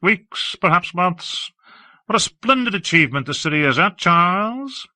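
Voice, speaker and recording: A man's voice: sits high, animated, normal speed, pushed and bright, no room sound, pitched above the performer's own voice, exaggerated voice. A man voicing a languid, teasing male socialite in an audiobook reading. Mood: airy, breezy. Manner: breezy and airy.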